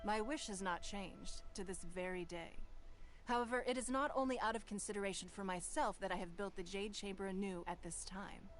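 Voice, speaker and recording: A woman voicing a poised, commanding noblewoman ruler in a video game, speaking in a measured, formal way. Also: mid-range voice, steady tone, soft background music, close to an everyday voice. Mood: composed. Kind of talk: game voice acting.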